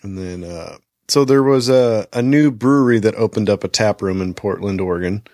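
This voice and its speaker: A man's voice: fairly low pitch, moderate pace, darkish tone, no room sound, studio quality. A man hosting a casual podcast, reading news items aloud and adding his own asides. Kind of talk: casual chat.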